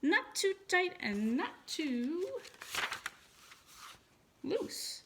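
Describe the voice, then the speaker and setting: A woman voicing an exasperated mother who scolds her young son, emphatic and shrill. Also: sing-song, very slow, fairly bright tone, slight background noise, full character voice.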